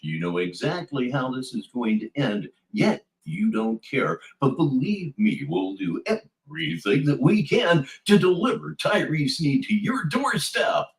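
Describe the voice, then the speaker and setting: Male commentator, medium pitch, unhurried and highly animated, sarcastic showman announcing with theatrical flourish. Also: vocal fry, rough recording.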